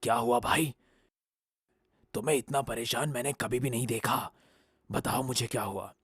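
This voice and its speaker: A woman voicing a male cartoon eagle, a matter-of-fact friend with a husky, breathy voice. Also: rumbling low, normal speed, no echo, clean studio sound, pitched below the performer's own voice. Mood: concerned.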